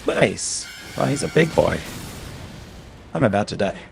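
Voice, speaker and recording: A man's voice: lowish voice, big pitch swings, measured pace, vocal fry, over music. A man casually commenting aloud as he plays through a video game on stream. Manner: chatty, reacting aloud as he plays.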